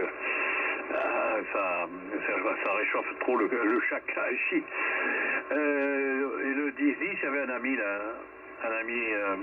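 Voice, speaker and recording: A man speaking as an amateur radio operator, relaying messages and spelling call signs in a hesitant, repetitive, conversational way over shortwave. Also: medium pitch. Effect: shortwave radio transmission. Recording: tinny, noisy, distant shortwave radio signal.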